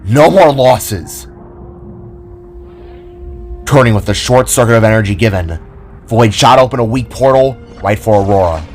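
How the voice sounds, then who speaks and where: A man's voice: on the low side, a little fast, darkish tone, faint music behind. A man narrating a scripted audio drama as a dramatic storyteller.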